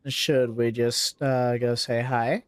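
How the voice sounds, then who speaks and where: A man's voice: medium pitch, little pitch movement, slow pace, a little hiss or hum. A man giving casual, offhand reactions as he streams a game, in short informal asides.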